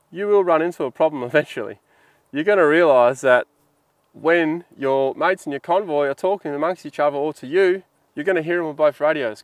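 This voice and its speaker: A man's voice: on the high side, no echo. An Australian man giving an informal instructional explanation in a plain-spoken, colloquial, practical way. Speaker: instructional video presenter.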